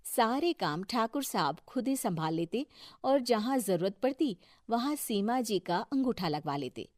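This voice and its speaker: A woman's voice: on the high side, expressive, a little slow, a little mellow, no echo, studio quality. A woman narrating a scripted moral story for a cartoon video.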